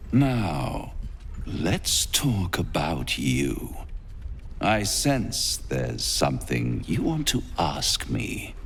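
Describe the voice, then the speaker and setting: A suave gentleman devil with a low, gravelly voice. He is smug, menacing and theatrical, savouring each word as his pitch sweeps dramatically in a game scene. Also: slight background noise, full character voice. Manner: silky, theatrical, savouring words. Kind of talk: video game voice acting.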